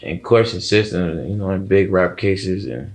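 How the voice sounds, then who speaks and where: A man's voice: low voice, normal speed, creaky. A young man with a Chicago accent talking casually as an interviewed rapper, rambling with plenty of slang and swearing. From Chicago, USA.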